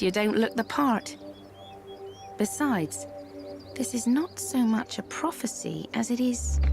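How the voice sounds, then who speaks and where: An actress playing a wry, worldly herbalist and fortune-teller in a game cutscene, musing with a theatrical touch. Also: female voice, mid-range voice. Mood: curious, wry. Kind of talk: video game cutscene acting. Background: music.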